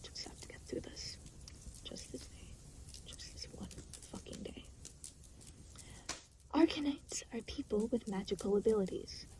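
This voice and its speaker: A woman's voice: fairly high pitch, expressive, a little slow, pauses often, warm tone, in a whisper, rough recording. A woman playing an aloof, exasperated demon in an audio roleplay, talking to the listener in a dry, sarcastic, weary way. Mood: exasperated muttering. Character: aloof, exasperated demon, reluctantly babysitting a new soul.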